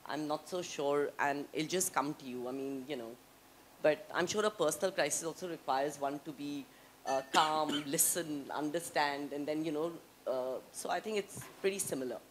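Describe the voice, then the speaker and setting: A woman with an Indian English accent speaking as a panelist on a conference stage into a handheld mic, measured and thoughtful with many fillers. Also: low-pitched, a little hiss or hum, poor audio. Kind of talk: panel discussion.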